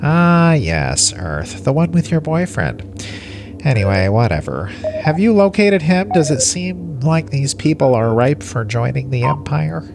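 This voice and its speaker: An actor playing a brusque, dismissive alien commander of an empire in an audio play, drawling and offhand, with noisy ambience behind him. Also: male voice, fairly high pitch, very animated, unhurried, vocal fry, exaggerated voice.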